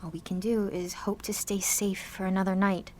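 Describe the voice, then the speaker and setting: A woman's voice: medium pitch, level tone, moderate pace, natural voice. A woman playing an anxious, guilt-ridden seventeen-year-old girl with superpowers in an audio drama, speaking in a confessional, sardonic, conversational way. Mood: somber, guilty.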